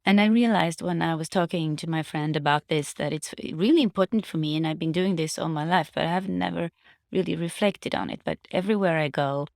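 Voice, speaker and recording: A woman giving a casual, reflective personal monologue to viewers, halting as she searches for words and restates her phrases. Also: deep voice, creaky, darkish tone, no room sound, studio quality. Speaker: vlogger sharing personal reflections.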